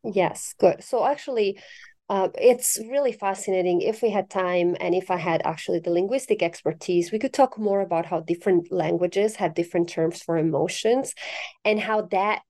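A woman's voice: on the low side, moderate pace. A middle-aged woman from Italy, a philosophy professor, talking animatedly in an interview with many fillers and bursts of emphasis. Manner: animated, fillers and restarts, rising emphasis on key words. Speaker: philosophy professor, guest author. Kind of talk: academic interview conversation.

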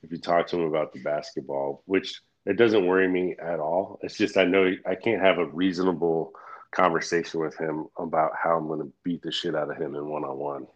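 Man, very low voice, candid loose talk, muffled recording. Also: steady tone, brisk. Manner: rambling, frank, swears freely, laughs often. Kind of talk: casual chat. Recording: dull lo-fi audio.

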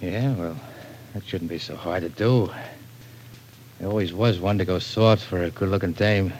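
Man playing a very low-voiced, surly American crook. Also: moderate pace, a little mellow, lightly acted. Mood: sardonic. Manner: tough, slangy, sardonic. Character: surly, sardonic male small-time tough crook. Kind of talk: old-time radio crime drama acting. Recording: noisy vintage radio recording.

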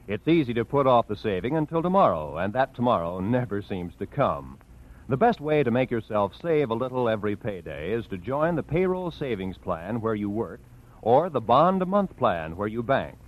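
Lively vintage American radio announcer man. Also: medium pitch. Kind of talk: 1940s radio announcing, scripted. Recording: slightly muffled vintage radio broadcast.

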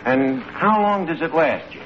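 Scheming city tavern boss, swooping pitch. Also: male voice, lively intonation, a little slow. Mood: explaining. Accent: New York. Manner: folksy mangled grammar, self-assured. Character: scheming, malaprop-prone tavern manager, a working-class city man. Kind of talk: old-time radio comedy acting. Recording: muffled, noisy vintage radio recording.

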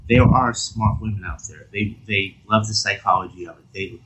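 A man with a fairly low voice chats casually as a podcast co-host. He feels his way through his points, often rephrasing mid-thought. The recording has clear noise and some clicking behind him. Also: normal speed. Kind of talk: casual discussion. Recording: clearly noisy background with clicks.

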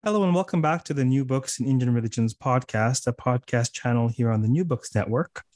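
A man's voice: medium pitch, a little fast, a little mellow, dry recording. A man hosting a podcast interview over a video call, chatty, laughing often and restarting his sentences. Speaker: podcast host. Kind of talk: casual interview.

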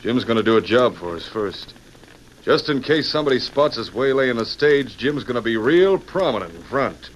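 An actor playing a cocky, scheming gang boss in an old-time radio western, slangy and commanding. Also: male voice, medium pitch, lively intonation, normal speed, dull audio, natural voice. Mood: smug scheming. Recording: noisy vintage radio recording.

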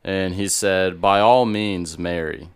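A young man co-hosting a casual podcast, thinking out loud in long, rambling explanations. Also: deep voice, fairly even intonation, slow pace, clear, resonant tone. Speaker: podcast co-host. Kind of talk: casual chat.

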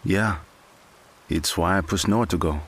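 A man acting a gentle, patient friend in an audio drama, consoling in a measured, coaxing way. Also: low-pitched. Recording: clear background hiss.

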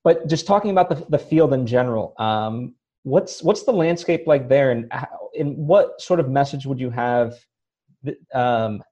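Halting male podcast host, muffled audio. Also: medium pitch, dark tone. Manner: hesitant, halting questions with many fillers. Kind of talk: interview questions.

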